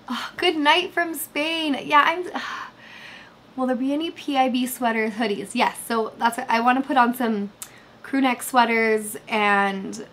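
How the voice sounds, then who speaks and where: A woman in her thirties, slightly hoarse, chatting casually with viewers on a livestream from her bed, with lots of fillers. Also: a little high, slow, long pauses, a bit bright, slight background noise. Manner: chatty, reads chat aloud, many fillers. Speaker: livestream host. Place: bedroom, sitting in bed. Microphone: webcam framing.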